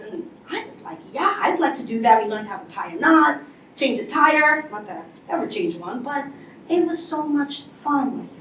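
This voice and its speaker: A woman giving a motivational speech to an audience, telling her story in a lively way and acting out what people said. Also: a little high, distant mic, a little hiss or hum. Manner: animated storytelling with acted-out quotes. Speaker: speaker. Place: large hall before an audience. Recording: echoey hall, voice from afar.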